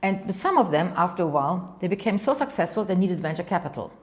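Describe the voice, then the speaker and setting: A woman's voice: fairly low pitch, sing-song, fast talker, darkish tone. A middle-aged woman with a German accent, telling her career story as an interview guest, often falling back on "kind of go and" and repeating words as she goes. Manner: talks in long anecdotes, often says "kind of go and", repeats words. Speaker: venture capital partner, guest.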